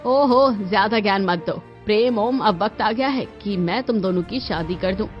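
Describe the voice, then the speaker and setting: A woman's voice: medium pitch, normal speed, dull audio, faint music behind, lightly acted. A woman voicing a bossy, snobbish middle-aged mother-in-law in a cartoon story.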